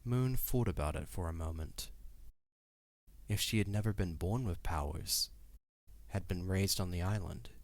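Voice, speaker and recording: A man narrating a scripted story as the narrator of an audio drama, in a measured, solemn way. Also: low voice, no echo.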